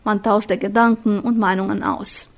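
A woman narrating a scripted radio news report in an even, measured read. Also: mid-range voice.

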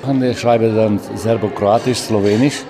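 An older man from Vojvodina with a Hungarian accent, answering an interview in halting non-native phrasing with fillers. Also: fairly low pitch, fairly even intonation, over music. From Vojvodina, Serbia. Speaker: author.

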